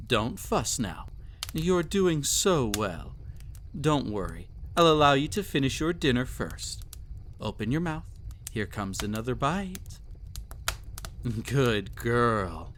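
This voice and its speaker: A man performs a cruel, possessive emperor in an intimate audio drama. His delivery is slow and very expressive, swinging widely in pitch. He is imperious, teasing and condescending as he pampers, with faint noise behind the voice. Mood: doting, patronizing.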